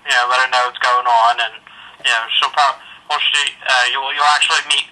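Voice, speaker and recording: A man's voice: mid-range voice, fairly even intonation, fairly quick, pushed and bright. A man working at a store, talking politely and hesitantly over a telephone line, casual and apologetic. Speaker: store employee taking a call. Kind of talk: casual customer-service phone talk.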